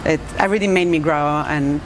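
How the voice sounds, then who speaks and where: A woman's voice: low voice, fairly quick, noisy background. A woman from Italy with an Italian accent, chatting as a bartender guest, hesitant with many fillers and restarts. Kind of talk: casual interview chat.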